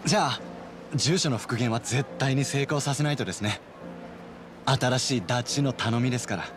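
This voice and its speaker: An actor playing a polite, helpful young man in a video game cutscene, speaking in a courteous, measured, formal way. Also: mid-range voice, background noise, lightly acted. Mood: attentive, sincere.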